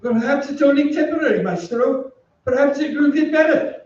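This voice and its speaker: A man's voice: extremely high pitch, moderate pace, darkish tone. A man playing a timid, anxious aging violinist in a radio drama, hesitant, deferential and apologetic in his speech. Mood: dejected. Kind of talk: old-time radio drama acting. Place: theatre stage, live reading. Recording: echoey stage, distant mic, muffled, hiss.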